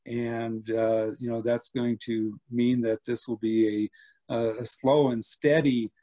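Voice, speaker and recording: A man in his fifties, an airport director, answering questions over a video call in a steady, measured way with many pauses and "uh"s. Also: medium pitch. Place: home room indoors. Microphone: laptop webcam on video call.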